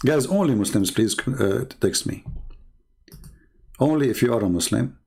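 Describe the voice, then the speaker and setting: A man with an Arabic accent hosts a livestream. He taunts and challenges his viewers. His voice swings high when he scoffs, and he often breaks into short laughs. His pitch sits in the middle for a man. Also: expressive, moderate pace, vocal fry, a little mellow, breathy edge. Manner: mocking, challenging, often raising his voice sharply. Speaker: livestream debate host.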